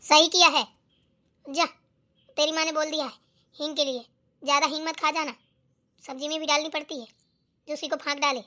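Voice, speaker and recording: A woman voicing a scolding, suspicious elderly mother-in-law in a family cartoon, nagging and sharp-tongued. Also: high-pitched, fairly even intonation, fairly quick, long pauses. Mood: scolding, exasperated. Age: older. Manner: nagging, sharp-tongued, peppered with challenging tag questions. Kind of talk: Hindi family cartoon acting.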